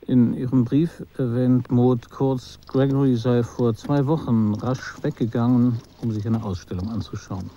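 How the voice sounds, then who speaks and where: Actor as guy narrating a radio play, super mellow dark voice, measured, bit dull sounding. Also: medium pitch, steady tone, lightly acted. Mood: reflective. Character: male narrator recalling a woman he knew. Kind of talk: literary radio drama narration.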